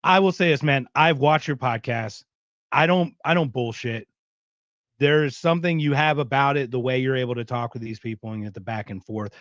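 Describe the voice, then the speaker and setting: A man's voice: medium pitch, normal speed, fairly bright tone, no room sound, muffled recording. A man hosting a podcast in casual chat, rambling with many restarts and self-interruptions. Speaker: podcast host.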